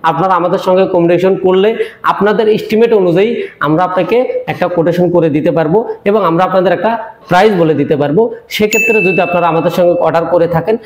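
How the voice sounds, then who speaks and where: A man's voice: sits high, quick pace, slight background noise. A man with a Bangladeshi accent presenting a product in an informal demonstration, explaining repetitively and addressing viewers directly. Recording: echoey, reverberant room.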